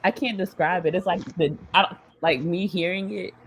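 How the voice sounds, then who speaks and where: A man with a DMV accent, soft-spoken and searching for words in a casual chat. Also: high voice, steady tone, moderate pace, a little hiss or hum, poor audio. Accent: DMV (Washington, D.C. area). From DMV area (Washington, D.C. region).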